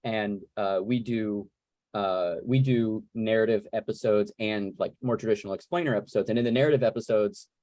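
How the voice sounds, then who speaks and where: A man moderating a casual Q&A on a video call, talking in a wordy, rambling, animated way. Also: fairly low pitch, normal speed, no room sound. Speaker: moderator of a panel Q&A. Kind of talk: casual conversational interviewing.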